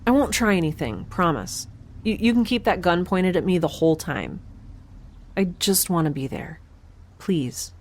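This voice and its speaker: A woman's voice: fairly low pitch, expressive, moderate pace, a little hiss or hum, natural voice. A woman playing a wry, stubborn young scientist in a space audio drama, with a dry, sardonic and precise way of talking. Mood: earnest, pleading. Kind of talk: audio drama acting, first-person log narration.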